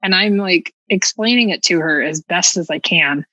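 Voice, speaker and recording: A woman telling a personal story as a podcast guest in an animated, casual, self-mockingly dramatic way. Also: lowish voice, normal speed, no room sound.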